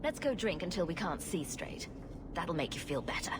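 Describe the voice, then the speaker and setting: A woman's voice: lowish voice, sing-song, normal speed, noisy background. A woman playing a witty, sarcastic rogue adventurer heroine in a video game. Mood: light, supportive. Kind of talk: video game cutscene acting.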